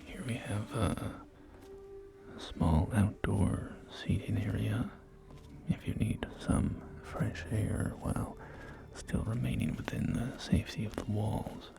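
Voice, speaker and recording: Man playing post-apocalyptic estate agent for ASMR roleplay: whispered, very gravelly, formal and gently persuasive. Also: fairly low pitch, full character voice. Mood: reassuring, formal. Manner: formal, unhurried, softly persuasive sales patter. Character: polite male wasteland real estate agent, salesman.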